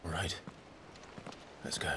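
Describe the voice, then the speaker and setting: An actor playing a brooding, protective young warrior in a fantasy video game, with a terse, grave manner. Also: male voice, slow pace, lightly acted. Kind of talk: cinematic video game acting. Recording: noisy rumbling game effects behind.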